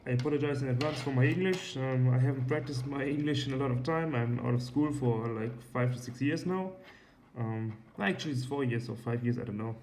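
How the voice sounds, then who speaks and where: A man's voice: mid-range voice, brisk, darkish tone, a little hiss or hum, low-quality recording. A young man in his twenties with a German accent, hosting a casual watch review vlog, rambling with many ums and casual swearing. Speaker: watch and cigar channel host. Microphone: iPad.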